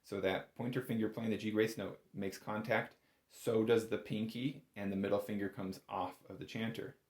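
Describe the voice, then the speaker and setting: A man's voice: fairly low pitch, fairly even intonation, normal speed, reverberant. A man giving a casual, step-by-step music lesson as an instructor, in an informal, reassuring and encouraging manner. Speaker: bagpipe instructor.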